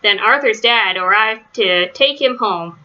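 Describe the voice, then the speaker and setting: A woman's voice: medium pitch, measured pace, pushed and bright, highs cut off. A woman reading a children's story aloud as narrator. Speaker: storybook reader.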